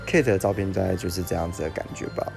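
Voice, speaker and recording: A man's voice: deep voice, fast talker, gravelly, music in the background. A man giving a casual spoken testimonial in a pre-recorded message. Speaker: photo model leaving a recorded message. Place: pre-recorded voice message played over music.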